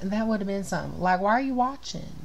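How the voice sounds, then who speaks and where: This is a woman co-hosting a casual livestream. She is easygoing and playful, and she is quick to agree with her guests. Her pitch sits in a middle range. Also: expressive, vocal fry, a little hiss or hum, rough recording. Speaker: livestream co-host.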